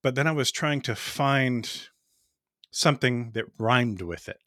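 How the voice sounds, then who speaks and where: Podcast host, man, smooth tone, bright and forward, talks in rambling asides with little laughs, dry close sound. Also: medium pitch, measured pace, dry recording. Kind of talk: casual chat.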